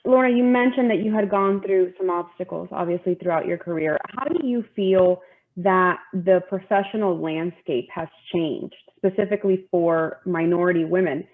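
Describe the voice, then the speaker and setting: A woman's voice: on the low side, moderate pace, phone-quality sound. A woman hosting an interview, asking polite, welcoming questions in a formal way. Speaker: interviewer, bar association president-elect.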